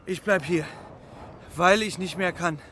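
Hohe, erschöpft nörgelnde Taube. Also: male voice, high-pitched, measured pace, background noise. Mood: exhausted, grumbling. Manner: complaining, pleading. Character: weary, grumbling male short-distance pigeon. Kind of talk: children's radio play acting. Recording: loud music behind the voice.

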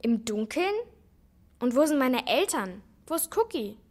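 High-voiced, frank young girl. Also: big pitch swings, measured pace, full character voice. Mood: curious, frank. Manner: blunt, matter-of-fact, confident. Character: frank, know-it-all young girl, loyal best friend. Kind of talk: children's radio play dialogue.

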